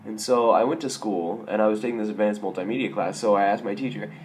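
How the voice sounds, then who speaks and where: A young man with a raspy, hoarse voice from a sore throat, telling stories in a casual, self-mocking vlog. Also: lowish voice, fast, a little mellow, slight background noise. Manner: self-mocking, wry, rambling with restarts. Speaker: vlogger.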